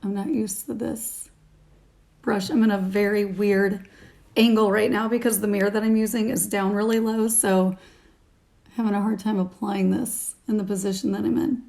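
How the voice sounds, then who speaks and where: A woman in her thirties with a slightly raspy morning voice, giving a casual, chatty product review to camera into a phone at home. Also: medium pitch, level tone, moderate pace, a little mellow. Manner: chatty, informal, talking while applying makeup. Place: small room at home, indoors. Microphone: phone at arm's length.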